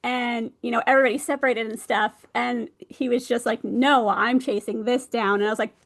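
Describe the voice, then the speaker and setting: Woman guest on a casual interview, kinda high voice, animated n chatty, does playful quotes. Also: fairly quick, creaky. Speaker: guest author, ghostwriter and audio drama producer. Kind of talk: casual interview chat about writing.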